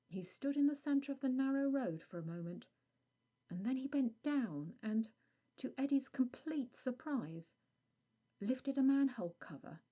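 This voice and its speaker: A woman reading a scripted audio story as narrator, in a measured storytelling delivery. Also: medium pitch, dark tone.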